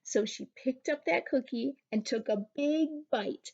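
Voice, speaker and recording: A woman's voice: sits high, measured pace, gravelly, darkish tone, dull audio, slight background noise. A woman teacher reading a children's picture book aloud, expressively, playing with the sound-effect words.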